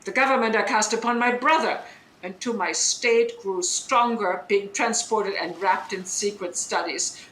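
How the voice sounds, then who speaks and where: A woman's voice: mid-range voice, measured pace, a bit bright, natural voice. A woman playing a bitter, scholarly deposed duchess and sorceress mother in Shakespearean audio drama, speaking in a declamatory, emphatic way that demands attention. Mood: bitter, insistent. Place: indoor room, recorded over internet link. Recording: roomy remote recording, noise.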